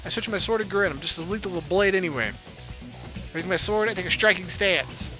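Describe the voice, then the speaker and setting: A man narrates a visual novel in let's play style, with a joking, playful manner. His voice is on the higher side. Game music is clearly audible behind him. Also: fast. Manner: playful, jokey. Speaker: gamer reading a visual novel aloud.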